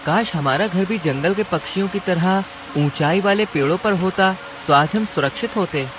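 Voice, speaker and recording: A high-voiced little cartoon mouse husband, played by a man, earnest and polite with a pleading, worried manner. Rain noise runs clearly behind the voice. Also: normal speed, close to an everyday voice. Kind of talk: Hindi cartoon story dialogue.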